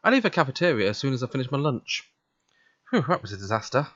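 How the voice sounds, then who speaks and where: Animated male narrator, slightly muffled. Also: mid-range voice, quick pace, no echo. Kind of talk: first-person visual novel narration, read aloud. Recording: dull, muffled home recording.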